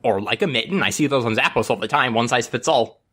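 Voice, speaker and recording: A man's voice: medium pitch, fast talker, bright, forceful tone, breathy edge. A man co-hosting a podcast, reading skit lines and chatting casually with a playful, jokey manner. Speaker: podcast co-host and writer. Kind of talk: casual chat and scripted skit read live.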